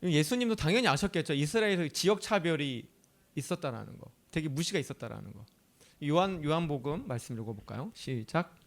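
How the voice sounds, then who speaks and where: A man preaching a sermon in a chatty, animated way. His voice sits fairly high for a man and swings widely up and down. He keeps asking the audience questions and laughs easily. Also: a little fast, gravelly. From Chungcheong, South Korea. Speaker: pastor.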